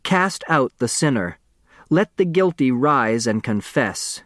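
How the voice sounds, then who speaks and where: A man's voice: medium pitch, lively intonation, slow, a bit bright, no echo, studio quality. A man voicing a commanding, theatrical patriarch in a solemn, oratorical audiobook reading. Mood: grandiose, exhortatory. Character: dead millionaire's will, commanding, grandiose, theatrical old patriarch.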